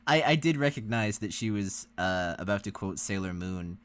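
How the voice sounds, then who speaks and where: A man with a somewhat low voice chats as a reaction co-host. He is easygoing and agreeable, commenting casually with some hesitation. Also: animated, normal speed.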